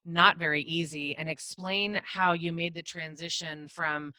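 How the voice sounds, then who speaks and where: A woman's voice: sits low, fairly even intonation, unhurried, bright, forceful tone, no echo. A woman hosting an interview, asking her guest friendly, prompting questions. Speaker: podcast host.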